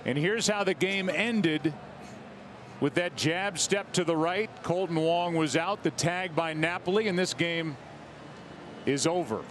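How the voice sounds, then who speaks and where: High-pitched male baseball broadcaster. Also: a little slow, fairly bright tone, a little hiss or hum. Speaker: sports broadcaster. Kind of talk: replay commentary.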